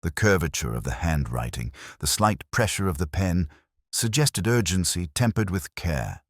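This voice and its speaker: A man playing a reserved, observant English police detective, narrating in the first person in a measured, formal, literary way. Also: low-pitched, sing-song, dry recording, clean studio sound, exaggerated voice. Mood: measured, contemplative.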